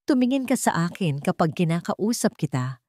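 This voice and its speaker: A woman's voice: fairly low pitch, sing-song, normal speed, warm tone, no room sound, clean studio sound. A woman voicing a stern, elegant, wealthy mother in an audio story, firm and reproachful.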